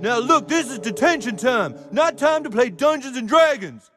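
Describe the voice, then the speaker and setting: An actor voicing a fussy middle-aged school counselor in a cartoon game who keeps tacking on "okay". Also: male voice, very high voice, lively intonation, moderate pace, fairly bright tone, faint music behind. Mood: exasperated. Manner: repeats okay, nasal and fussy.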